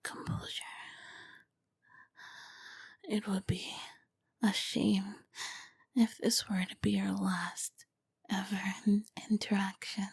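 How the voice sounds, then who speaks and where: A woman voicing a charming, commanding vampire queen in a slow, breathy, seductive whisper for an intimate ASMR roleplay. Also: mid-range voice, level tone, dry recording, heavily acted. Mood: seductive, composed.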